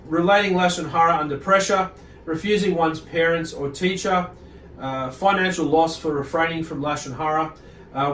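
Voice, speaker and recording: Guy giving a religious lesson, halting and rambly, kinda far from the mic, echoey room. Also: a little high, a bit bright, slight background noise. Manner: halting, deliberate, rambling with self-corrections. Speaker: religious teacher. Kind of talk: informal religious lecture, reading and explaining from a book. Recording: roomy echo, distant microphone.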